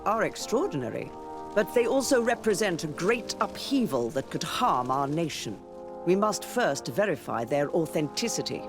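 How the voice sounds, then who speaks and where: A courteous, cautious clergyman from a fantasy game. His voice is high for a man and swings widely in pitch, with music clearly behind it. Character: courteous, cautious senior churchman of a fantasy faith. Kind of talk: scripted fantasy game dialogue.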